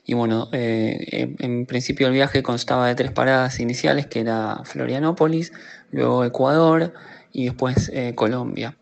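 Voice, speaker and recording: A man's voice: mid-range voice, steady tone, moderate pace, gravelly. A man with an Argentine accent casually recounts his own personal experience. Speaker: interviewee telling personal experience.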